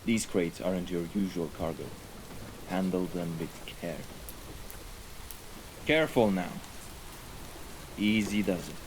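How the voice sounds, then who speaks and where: A man playing a composed, menacing mafia right-hand man in an audio roleplay, speaking in a formal, measured way. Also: low-pitched, noisy background. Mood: stern, commanding. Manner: formal, measured, deliberate wording. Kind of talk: scripted audio roleplay acting. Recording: rain and ambience behind the voice.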